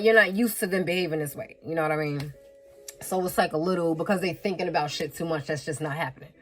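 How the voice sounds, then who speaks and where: A fairly low-voiced woman doing a laid-back tarot reading, talking straight and swearing without a second thought. She hammers her points home by repeating phrases and sniffles a little from a head cold, with faint music playing behind her. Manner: frank and blunt, with frequent swearing and laughing asides. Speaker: tarot reader.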